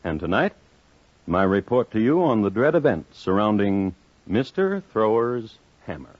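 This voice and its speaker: A man hosting and narrating a scripted 1950s radio crime series in a measured, theatrical manner with dramatic pauses. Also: lowish voice, very animated, dry recording. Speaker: host and narrator. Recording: muffled old 1950s radio recording.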